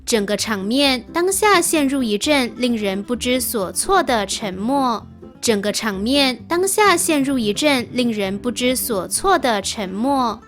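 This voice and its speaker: Higher-voiced woman reading scripted sentences. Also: lively intonation, a little slow, soft background music. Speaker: reader of quiz sentences.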